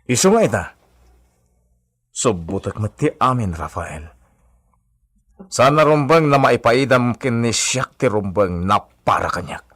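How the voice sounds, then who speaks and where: Cocky scheming brother in a radio soap, really swoopy voice, taunting, drags words out. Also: male voice, mid-range voice, lively intonation, measured pace, long pauses, a bit bright. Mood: scheming, demanding. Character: theatrical, scheming twin brother with a swaggering temper. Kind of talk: melodramatic Philippine radio drama acting.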